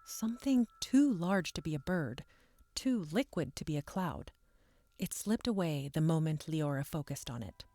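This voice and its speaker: A woman reading scripted fantasy narration in a measured, descriptive way. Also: sits low, expressive, warm tone, no room sound.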